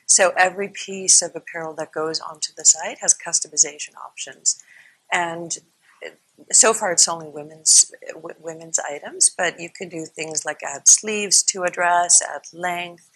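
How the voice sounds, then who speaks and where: A woman from Iceland speaking as a panelist in a live online discussion, explaining at a measured pace with frequent restarts. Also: fairly low pitch, fairly bright tone, slightly rough, poor audio. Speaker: panelist, fashion entrepreneur. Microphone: video call.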